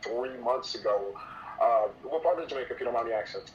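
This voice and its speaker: A man's voice: mid-range voice, expressive, fast. Male real estate trainee, polite, hesitant; dull lo-fi recording. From New York. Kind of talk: role-play sales call. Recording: dull muffled sound with faint noise.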